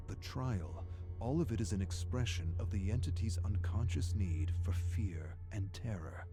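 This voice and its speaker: Guy doing a low voiced weary scholar narrating his dark journal, measured and brooding, music under it. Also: lightly acted. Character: weary, scholarly male archivist of a dark otherworld. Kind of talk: scripted dramatic narration, journal entries. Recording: music behind the voice.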